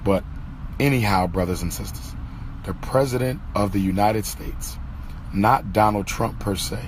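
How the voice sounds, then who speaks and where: Hoarse, low-voiced man lecturing emphatically. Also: slight background noise. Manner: emphatic, repeats key phrases. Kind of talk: impassioned monologue to followers.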